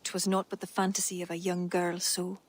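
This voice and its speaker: A woman's voice: on the low side, completely flat delivery, brisk, close to an everyday voice. Woman acting a wistful, spirited Irish storyteller. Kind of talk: video game cutscene acting.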